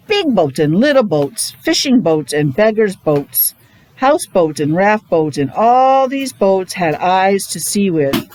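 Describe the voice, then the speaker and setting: A woman, a teacher reading a picture book aloud to young children, pausing to explain and ask questions in simple words. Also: on the low side, darkish tone, poor audio. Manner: child-directed, asking questions, repeating words for emphasis. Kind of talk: animated read-aloud with casual explanatory asides.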